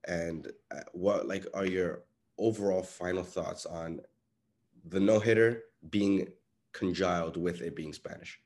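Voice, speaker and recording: A man with a very low voice asks a long, loose question full of fillers. He sounds a bit distant from the mic. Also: fairly even intonation, unhurried, darkish tone. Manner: rambling, hesitant questioning. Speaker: interviewer. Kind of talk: casual interview question.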